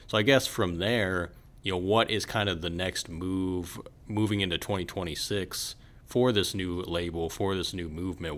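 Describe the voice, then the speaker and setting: A man's voice: deep voice, fairly bright tone. A man hosting a casual interview, speaking in an encouraging, affirming way. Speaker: podcast host.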